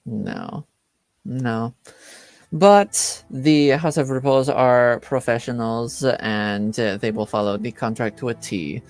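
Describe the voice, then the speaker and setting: A man playing a composed, formal informant in a tabletop roleplaying game, speaking in measured phrases with hesitant pauses. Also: medium pitch, fairly even intonation, a little mellow, dry recording. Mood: cool, measured. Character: formal, composed informant with cool professional detachment. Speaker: game master.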